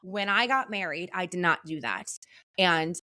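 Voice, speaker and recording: A woman co-host with a loud, lively voice, telling stories in a casual podcast chat. Her pitch swings widely as she acts out the people in her stories. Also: normal speed. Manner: loud, animated storyteller. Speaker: podcast co-host, wedding photographer.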